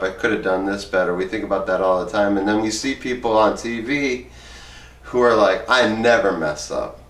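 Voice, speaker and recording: A man with a fairly low voice reads aloud and chats informally, like an amateur host sharing excerpts. He sounds a little set back from the microphone, in a clearly echoey room. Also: fairly bright tone. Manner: informal, rambling, halting when speaking off the cuff. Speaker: host reading book excerpts aloud and commenting. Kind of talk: reading aloud and casual commentary. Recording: roomy echo, distant from the mic.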